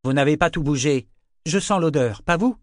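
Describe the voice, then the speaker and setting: A man's voice: a little high, moderate pace, dry recording, studio quality, pitched above the performer's own voice, natural voice. A man voicing a stern, commanding, defiant young woman ship's lieutenant in an audiobook, with a curt delivery. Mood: stern, insistent. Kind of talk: audiobook character voice.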